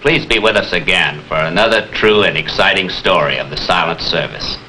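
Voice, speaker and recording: A man's voice: low-pitched, moderate pace. A man hosting a 1950s television program, formally presenting and interviewing a guest. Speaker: television host. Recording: 1950s TV soundtrack with hiss.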